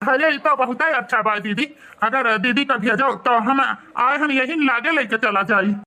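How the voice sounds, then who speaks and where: Man as an eager, bright Awadhi village son. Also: very high voice, normal speed, full character voice. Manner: respectful, eager. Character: dutiful, helpful young village son.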